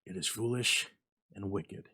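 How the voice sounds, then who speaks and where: A man's voice: fairly low pitch, unhurried, pushed and bright, husky, exaggerated voice. A man plays a trembling old husband in a Gothic horror story. His voice is shaky and faltering, full of fear and pleading. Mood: faltering, reluctant. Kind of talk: dramatic Gothic story reading.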